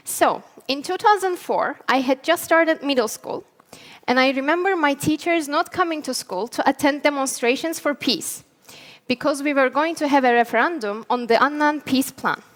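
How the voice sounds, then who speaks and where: A woman in her twenties from northern Cyprus, a native Turkish speaker, giving an earnest, measured conference talk on a headset mic in a large hall. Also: high voice. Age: 20s.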